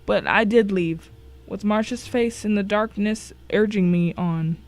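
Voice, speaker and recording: A woman narrates a thriller in the first person. Her voice sits at a medium pitch, and she reads the scripted prose with drama and suspense. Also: measured pace, a little mellow. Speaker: story narrator.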